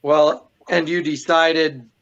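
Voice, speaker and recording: A man plays an unruffled, wry husband and detective from a 1940s radio drama. He speaks slowly and dryly, on the high side for a man. The recording is dull, with some background noise. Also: level tone, fairly bright tone. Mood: defiant. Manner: dry, hesitant, wry. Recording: dull, noisy amateur recording.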